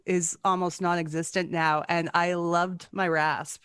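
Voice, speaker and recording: A woman's voice: fairly low pitch, level tone, measured pace, a bit bright, smooth, no room sound. A woman hosting a podcast, chatting informally and jokingly as she interviews a guest. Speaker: podcast host, singer. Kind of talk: casual interview chat.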